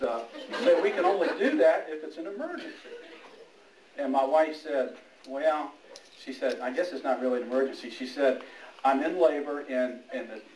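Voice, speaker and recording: Middle-aged man, medium pitch, halting informal speech, anecdotal, distant mic, echoey room. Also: darkish tone, slight background noise. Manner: halting, anecdotal, with quoted dialogue. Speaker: police major giving a retirement speech. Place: indoor room at a retirement party.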